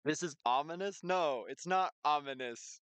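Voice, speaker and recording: A man's voice: fairly high pitch, moderate pace, a bit bright, clean tone, dry recording. A man hosting a game stream with casual, playful chat, often breaking off and restarting his sentences. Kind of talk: casual gaming livestream chat, reading game text aloud.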